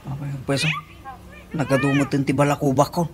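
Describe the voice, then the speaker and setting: A woman's voice: very deep voice, level tone, brisk, a little hiss or hum. A woman playing a hungry, grumbling construction worker in a comedy radio drama, with an Ilocano accent and a complaining manner. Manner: whining, complaining.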